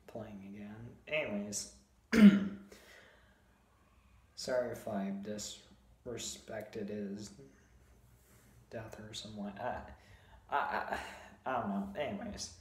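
A young man with a sore, hoarse throat chats casually to a phone camera in a hotel room, hesitant and full of fillers. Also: mid-range voice. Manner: hesitant, many fillers and restarts, slow. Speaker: video host. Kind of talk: casual vlog chat. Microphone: phone camera at arm's length. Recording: echoey small room.

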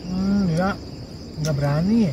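A man with a somewhat high voice tosses out short, offhand remarks during a shared meal. He sits a little off the mic. Insects chirp clearly in the open air around him. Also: takes it slowly. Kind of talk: casual chat over a meal. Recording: outdoor insect noise, a bit off-mic.

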